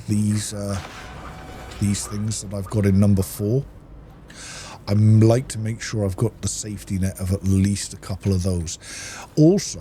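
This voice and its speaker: A man's voice: lowish voice, warm tone, slight background noise. A man giving a casual gameplay walkthrough, explaining things in practical asides to the viewer. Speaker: gaming video host.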